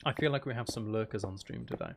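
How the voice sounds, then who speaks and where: A man's voice: fairly low pitch, big pitch swings, fast, gravelly, background noise. A man chatting casually and thinking aloud as he hosts a live game stream, talking to his viewers.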